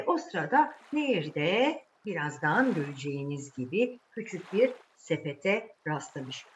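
A woman's voice: mid-range voice, dull audio, a little hiss or hum. A woman telling a fairy tale to children, speaking to them directly and repeating words for emphasis. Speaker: children's storyteller, channel host.

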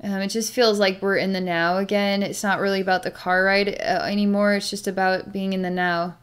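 A middle-aged woman thinking aloud as an animal communicator, speaking haltingly and meditatively with pauses. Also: medium pitch, flat delivery, fairly bright tone, clean tone. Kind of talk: slow narration of a psychic session with pets, thinking aloud. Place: small plain room indoors.

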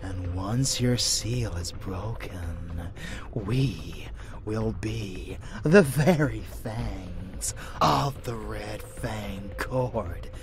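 A slow, gravelly, unhinged vampire lover. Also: male voice, lowish voice, animated, crowd noise, exaggerated voice. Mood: seductive. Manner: slow, theatrical, often dropping into whispers. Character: unhinged, swaggering, seductive male ancient vampire lover. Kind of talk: dark romance ASMR audio roleplay.

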